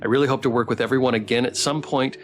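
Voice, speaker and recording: A man's voice: medium pitch, fairly even intonation, racing. A man hosting a casual livestream chat, encouraging and appreciative as he talks and reads out messages. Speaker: stream host. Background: background music.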